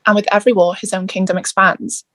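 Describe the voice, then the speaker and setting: A woman playing a grave, regretful old male mentor in a theatre table read, speaking in a measured, apologetic way. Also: mid-range voice, little pitch movement, fairly bright tone, no room sound, close to an everyday voice. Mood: grave, urgent.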